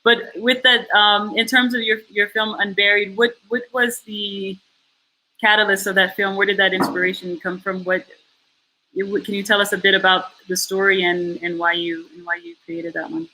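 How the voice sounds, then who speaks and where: A woman hosts a film festival Q&A over a video call. Her voice is bright and forward at an ordinary pitch. She asks her questions haltingly, with plenty of fillers and light laughter. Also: level tone, slight background noise, poor audio. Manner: friendly, hesitant with many fillers and laughs. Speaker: festival Q&A host.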